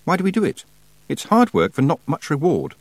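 A stubborn young witch girl, as a man reads her, speaks in a raised, animated voice. She is earnest and indignant, protesting like a storybook heroine. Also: a little high, fairly quick, dry recording, pitched above the performer's own voice. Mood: sullen.

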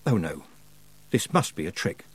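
A man's voice: sits low, very animated, moderate pace, slightly rough, no room sound, pitched below the performer's own voice, full character voice, rough recording. A man voicing a sleepy, curious young witch girl in an audiobook. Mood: disbelieving. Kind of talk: audiobook character voice.

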